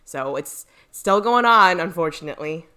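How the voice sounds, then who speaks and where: A woman with a slightly low voice talks as a podcast co-host. Her delivery is informal and opinionated, and she qualifies her thoughts as she goes in a loose, chatty style. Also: expressive, normal speed, bright, forceful tone, smooth. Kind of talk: casual opinionated podcast chat.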